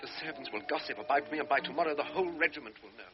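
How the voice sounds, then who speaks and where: An actor voicing a young lieutenant's brooding inner monologue of guilt in a radio drama. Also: male voice, fairly high pitch, racing, music in the background, lightly acted. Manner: inward, brooding first-person monologue. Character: guilt-ridden young lieutenant recalling his shame. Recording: dull old radio broadcast, faint hiss.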